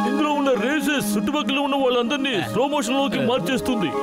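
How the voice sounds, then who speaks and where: A man voicing a genial, eccentric inventor who explains his gadget, in a cartoon dub. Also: very high voice. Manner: explanatory, genial, calls others friend. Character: friendly eccentric male inventor. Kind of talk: cartoon dubbing. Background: loud cartoon music.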